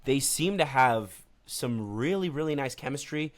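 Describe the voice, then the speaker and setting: A man's voice: medium pitch, normal speed. Laid-back man telling a hockey story. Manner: relaxed storytelling with frequent fillers. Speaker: podcast co-host. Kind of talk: casual sports chat.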